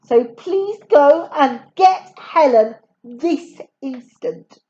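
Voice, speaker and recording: A woman's voice: high-pitched, lively intonation, drawn-out words, dark tone, voice pushed up, full character voice. A woman playing a weary grown man who turns bossy and schoolmasterish. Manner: weary, then bossy and clipped. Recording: dull lo-fi recording.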